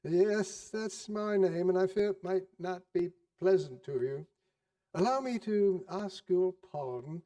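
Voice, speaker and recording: A man voicing an overjoyed, reformed old miser in a theatrical storybook reading, eager, exclamatory and halting with glee. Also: high-pitched, dark tone. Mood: delighted, courteous. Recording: distant microphone.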